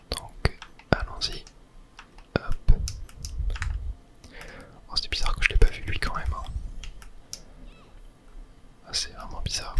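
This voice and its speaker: A man whispering breathy, murmured running commentary as he plays a video game for ASMR viewers.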